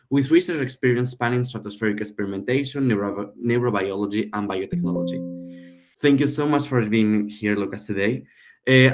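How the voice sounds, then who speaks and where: Guy hosting an online session, keeps restarting his sentences, dull muffled audio. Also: medium pitch. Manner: halting, many restarts. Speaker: session host. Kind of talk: introducing a guest speaker.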